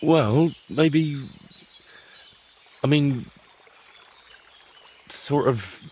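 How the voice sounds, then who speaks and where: A man's voice: fairly high pitch, extremely slow, darkish tone, slight background noise. An actor playing a curious, plain-spoken young man in a medieval video game, frank and good-natured. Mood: sheepish. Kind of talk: dramatic dialogue in a cinematic historical game.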